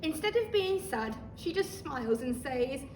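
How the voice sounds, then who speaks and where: A woman reading a children's story aloud like a teacher, expressive and talking listeners through the pictures. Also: sits high, a little slow. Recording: roomy, distant microphone, faint noise.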